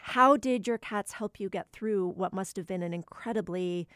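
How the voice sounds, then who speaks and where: A woman's voice: medium pitch, moderate pace, a bit bright, no echo, studio quality. A woman hosting an interview, asking careful questions and giving short encouraging replies. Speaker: podcast host.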